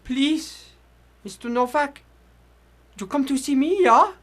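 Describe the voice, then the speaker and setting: A man playing a frail, gentle old foreigner, speaking in halting, stammering broken phrases in a radio drama. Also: very high-pitched, expressive, full character voice. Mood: weak, bewildered. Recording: noisy old 1940s radio recording.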